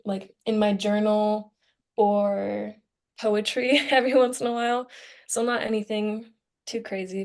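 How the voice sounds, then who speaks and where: A woman's voice: medium pitch, darkish tone. A young American woman, a student co-hosting a podcast, talking in a chatty, informal way and thinking aloud. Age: young adult. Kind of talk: casual chat.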